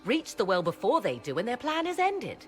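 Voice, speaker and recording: An actress playing a haughty, scholarly sorceress in a video game, speaking in formal, archaic, imperious phrases. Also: female voice, a little high, huge pitch swings, normal speed, soft background music. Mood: insistent. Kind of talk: dramatic video game acting.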